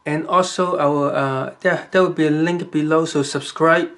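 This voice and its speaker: A man with a Chinese accent, giving rambling, halting advice to the camera in a casual vlog, with many fillers and restarts. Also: fairly high pitch, steady tone, fairly bright tone. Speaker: vlogger.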